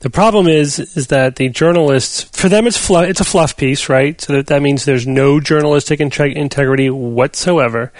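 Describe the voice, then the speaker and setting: A man hosting a podcast and leading a casual panel discussion in a measured, explanatory way. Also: fairly high pitch, no echo. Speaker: podcast host.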